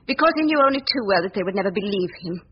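An actress playing an earnest, anxious young woman journalist in a 1940s radio drama, speaking gravely and dramatically. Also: medium pitch, expressive, very fast, a little hiss or hum, close to an everyday voice. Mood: grave, fearful. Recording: muffled old 1940s radio recording.

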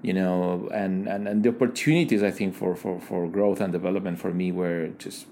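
Low-voiced man. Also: fairly even intonation, warm tone, slight background noise. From Ecuador. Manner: thoughtful, halting, many fillers and restarts. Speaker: guest, digital design leader. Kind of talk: casual interview conversation.

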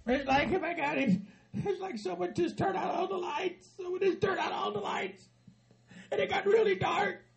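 A man playing a frantic aging hillbilly husband with a Southern hillbilly accent, who stammers, rambles and laughs through his words while yelling and cussing. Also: normal speed, over a noisy crowd. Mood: rambling upset. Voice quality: high-pitched and gravelly. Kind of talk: comedy sketch.

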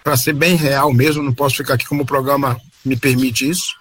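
A man's voice: mid-range voice, fairly even intonation, fast, fairly bright tone, husky, no echo. A middle-aged man from Salvador, telling his story as a guest on a video call from home, rambling and tagging sentences with "né?". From Salvador, Bahia, Brazil. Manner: rambling, frequent "né?" tags and self-corrections. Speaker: interview guest. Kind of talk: casual storytelling interview. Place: home room.

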